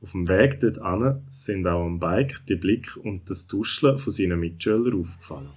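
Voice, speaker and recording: Low-voiced Swiss German male storyteller. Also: lively intonation, moderate pace, a little mellow, slightly rough. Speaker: narrator. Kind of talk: scripted children's audio story narration.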